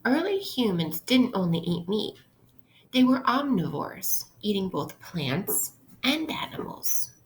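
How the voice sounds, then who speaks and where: A woman's voice: mid-range voice, sing-song, takes it slowly, a little hiss or hum, poor audio. A woman teacher reading aloud to children in an instructional way, explaining things simply. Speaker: elementary school teacher. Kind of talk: interactive read-aloud lesson for children.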